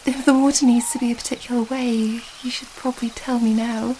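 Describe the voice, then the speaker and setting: Soft, high-voiced young princess acted by a woman. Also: little pitch movement, brisk, slight background noise, close to an everyday voice, rough recording. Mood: tender, reassuring. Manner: soft, hesitant, gently rambling. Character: young princess, earnest, affectionate, slightly flustered lover. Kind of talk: intimate ASMR romance roleplay.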